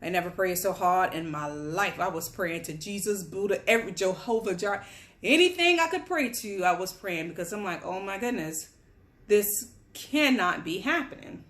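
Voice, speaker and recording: A woman's voice: mid-range voice, lively intonation, normal speed, fairly bright tone. Woman from Guyana telling her story. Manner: candid, chatty, conversational storytelling. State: pregnant with twins, on bed rest. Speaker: vlogger. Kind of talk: casual personal story to camera. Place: home, at a table among boxes.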